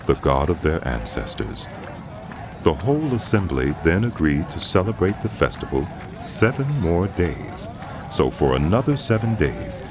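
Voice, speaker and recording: A man narrating scripture from a script in a measured, expressive storytelling manner. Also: a bass voice, background noise. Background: music under the voice.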